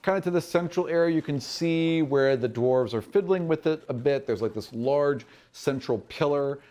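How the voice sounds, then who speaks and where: A man's voice: a little high, normal speed, darkish tone. A man narrating a fantasy tabletop game as game master, descriptive and informal.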